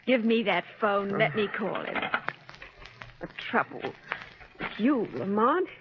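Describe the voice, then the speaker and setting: A woman's voice: mid-range voice, sing-song, slow, background noise. A woman playing a spirited, practical assistant to a sleuth in a 1930s radio drama. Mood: brisk, practical. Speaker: female lead in a radio mystery serial. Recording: muffled old 1930s radio recording.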